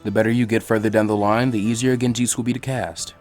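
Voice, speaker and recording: A man voicing a calm, encouraging adult male ninja teacher who explains things patiently in a story reading. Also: fairly low pitch, quick pace, lightly acted. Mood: patient, instructive. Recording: faint music behind the voice.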